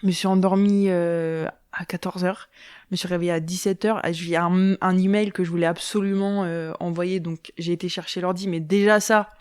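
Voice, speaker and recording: A woman's voice: lowish voice, steady tone, normal speed, darkish tone, smooth, dry recording, clean studio sound. A woman hosting a podcast in loose, rambling casual chat, laughing often. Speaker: podcast host.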